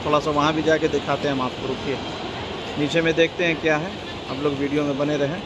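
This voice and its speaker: A man's voice: a little high, fairly even intonation, fast. A young man filming a casual walking vlog on a phone held at arm's length, pointing things out to his viewers as he moves through a mall and onto a metro. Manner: talks to viewers while walking, repeats "ye dekhiye". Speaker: vlogger. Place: large shopping mall, indoors, then street and metro. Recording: loud background noise from mall and traffic.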